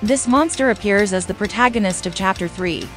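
A woman's voice: mid-range voice, a little fast, faint music behind. A woman hosting a quiz in scripted narration. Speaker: quiz host.

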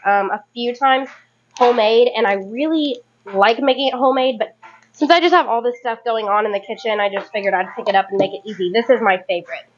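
A woman's voice: on the high side, fairly quick, lo-fi sound. A woman in her thirties chatting casually and rambling as she cooks in her home kitchen, correcting herself as she goes. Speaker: home cooking vlogger. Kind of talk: casual chat while cooking.

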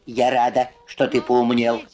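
A woman's voice: extremely low pitch, monotone, measured pace, darkish tone, voice pushed down. A woman voicing a wise, preachy female wagtail who scolds and instructs in a one-voice cartoon dub. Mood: wise, instructive. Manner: sententious, scolding, speaks in proverbs. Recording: old film soundtrack with music behind.

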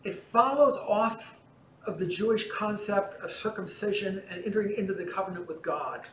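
A man's voice: sits high, normal speed. Man lecturing in an echoing church. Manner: relaxed explaining, gesturing as he talks. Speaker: teacher giving a parish class. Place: large church interior in front of icons. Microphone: far camera. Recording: roomy church with faint hum.

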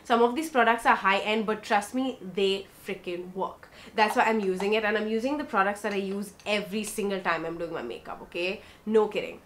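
A woman's voice: mid-range voice, fairly quick, few pauses. Chatty South Indian woman vlogger. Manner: chatty and warm, mixing English with Hindi. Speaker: beauty vlogger. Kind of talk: casual makeup tutorial. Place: bedroom, indoors.

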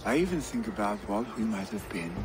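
A man playing a restless young Viking warrior, a grieving jarl's son, in a video game cutscene, speaking in an earnest, measured way. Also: on the low side, lively intonation, over music, close to an everyday voice. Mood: wistful, tender. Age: young adult.

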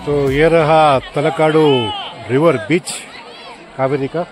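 Man in his thirties, travel vlogger, slightly high voice, outdoor selfie camera, crowd and music behind. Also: fairly even intonation, slow pace. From Bangalore, India. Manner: chatty, mixes English into Hindi, points things out to viewers. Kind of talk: casual travel vlog narration. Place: outdoors at a river beach. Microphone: handheld selfie camera at arm's length.

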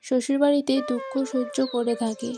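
A woman's voice: on the high side, fairly even intonation, a little fast, over music. A woman reading scripted storytelling narration for a cartoon moral tale.

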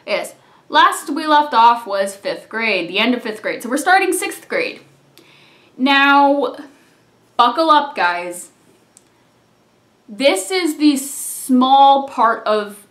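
Chatty high-voiced storyteller in an echoey room. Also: fairly bright tone. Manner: chatty, rambling, drops to mumbles and asides. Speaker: vlogger. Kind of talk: casual storytime vlog. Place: small living room, seated on a couch. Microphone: camera on a tripod a few feet away.

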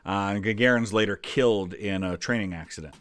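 A man's voice: on the low side, a little fast, fairly bright tone, clean tone, dry recording. An American man in his forties giving casual, conversational commentary as a history channel host. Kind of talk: casual reaction commentary to a quiz.

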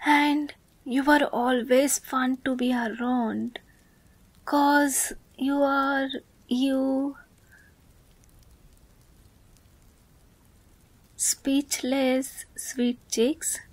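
A woman playing a teasing, affectionate young woman in love in an ASMR romance roleplay, speaking softly and playfully, at times breathily. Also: high voice, flat delivery, extremely slow, no room sound, exaggerated voice, poor audio. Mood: tender, earnest.

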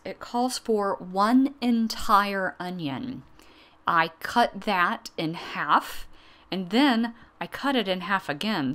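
A woman's voice: on the low side, a bit bright. A woman in her thirties hosting a cooking vlog, narrating plainly and then chatting over a tasting at a dining table. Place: dining room in a friend's house.

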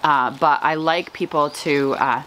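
A woman in her thirties chatting casually to camera as a vlog host, with an expressive, gushing and playful manner. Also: low-pitched, measured pace, slight background noise, poor audio. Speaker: flower farmer and vlog host. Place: outdoors on a covered house porch.

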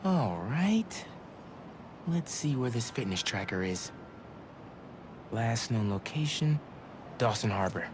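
A man's voice: mid-range voice, sing-song, takes it slowly, pauses often, noisy background. A young man playing an earnest, friendly teenage student superhero with an American accent in a video game. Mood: worried, determined. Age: young adult. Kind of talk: cinematic video game voice acting. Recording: game music loudly behind.

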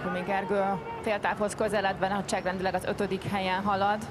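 A woman giving live commentary on a canoe sprint race, switching between two languages in a steady delivery. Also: mid-range voice, very noisy.

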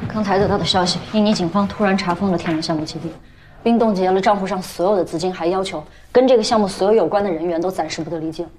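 A woman's voice: lowish voice, fast talker, darkish tone, slight background noise, natural voice. An actress playing a poised businesswoman who speaks in a cool, businesslike way.

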